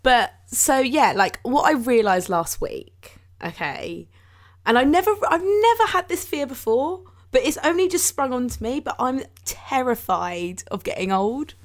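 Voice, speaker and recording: A woman's voice: sits high, expressive, a little slow. A woman in her twenties, co-hosting a podcast and talking casually about feelings and growing up, with lots of fillers and laughs. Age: 20s. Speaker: podcast co-host. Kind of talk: casual chat.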